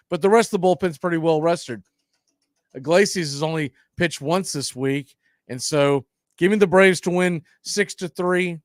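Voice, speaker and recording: A man's voice: a little high, fairly bright tone, no room sound, studio quality. A man hosting a sports livestream, giving casual pregame commentary. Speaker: radio show host and play-by-play announcer.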